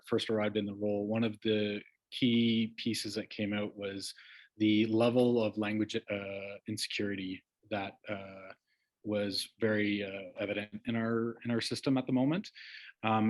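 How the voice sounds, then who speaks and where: A man speaks on an education panel in a low voice. He is hesitant, pausing often with "uh" and "um" as he searches for words. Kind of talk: panel discussion.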